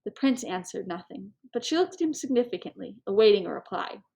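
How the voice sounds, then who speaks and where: A woman reading a literary novel aloud in an expressive, measured storytelling manner. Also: mid-range voice, vocal fry. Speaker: audiobook reader. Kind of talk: scripted literary narration.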